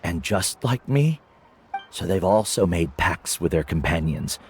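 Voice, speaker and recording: Deep, wise veteran guide. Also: male voice, very animated, breathy edge, heavily acted. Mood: calm, reflective. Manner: measured, philosophical. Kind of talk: English video game voice acting.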